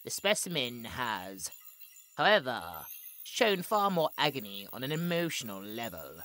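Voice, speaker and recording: A woman's voice: very deep voice, sing-song, unhurried, fairly bright tone, faint music behind, heavily acted. A woman playing a cold, imperious scientist in a horror audio drama, speaking in a clinical, theatrical way. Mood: clinical, detached. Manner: theatrical, clinical log narration with dramatic emphasis.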